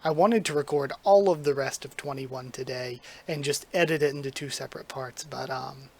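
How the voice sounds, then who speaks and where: A man's voice: medium pitch, normal speed, vocal fry, a little mellow. Chatty young man with a sore throat. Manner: chatty, rambling, enthusiastic. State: sore throat, slightly strained voice. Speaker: manga reaction host. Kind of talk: casual vlog commentary. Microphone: recorded on camera.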